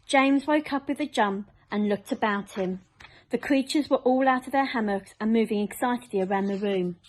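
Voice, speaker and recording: A woman's voice: fairly high pitch, normal speed, rough recording. A woman narrating a children's storybook aloud, as a teacher reading a chapter.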